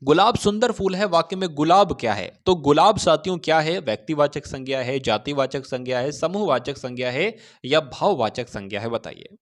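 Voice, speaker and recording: A man's voice: fairly high pitch, animated, moderate pace, hardly any pauses. A man teaching an online exam-prep class, explaining step by step, putting questions to listeners and checking in with them. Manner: instructive, repeatedly asks questions and answers them himself; addresses listeners directly with repeated check-in phrases. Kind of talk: Hindi grammar lesson for exam aspirants.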